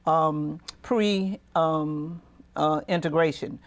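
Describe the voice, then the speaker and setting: A woman's voice: low voice, fairly even intonation, takes it slowly. An older woman co-hosting a TV talk panel, speaking reflectively and often repeating words as she gathers her thoughts. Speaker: co-host.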